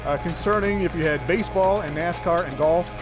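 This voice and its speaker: Man, sports show host, high-pitched voice, music behind him in a sports bar. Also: fairly quick. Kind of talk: sports talk show hosting.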